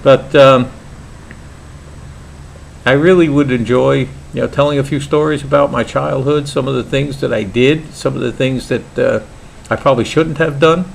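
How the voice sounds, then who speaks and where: Older Brooklyn-accented man, gravelly, relaxed halting home-vlog delivery, slight background rumble. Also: rough recording. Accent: New York (Brooklyn). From Brooklyn, New York. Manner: halting, many "uh"s and restarts, easygoing. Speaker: pipe maker vlogger. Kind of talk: casual hobby vlog.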